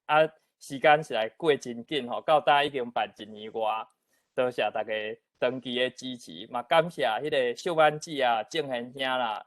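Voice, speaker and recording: A man's voice: medium pitch, a bit bright, no echo. A man with a Taiwanese accent hosting an online meeting, giving courteous, informal opening remarks. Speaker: outgoing host of an online literature event.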